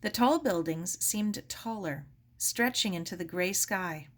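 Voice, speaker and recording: A woman reading a children's story aloud as expressive scripted narration. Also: lowish voice, measured pace. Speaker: storybook reader.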